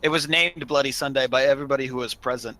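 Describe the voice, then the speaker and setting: A man's voice: mid-range voice, steady tone, quick pace, sharp tone. A man telling a story in a casual group chat as one of a gaming crew.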